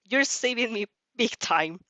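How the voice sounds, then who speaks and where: Fan audio story: woman as teenage vampire girl, lost yet grateful, impulsive, heartfelt, high-voiced. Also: expressive, a little slow, bright, forceful tone, dry recording. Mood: upbeat. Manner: earnest, pleading, effusive.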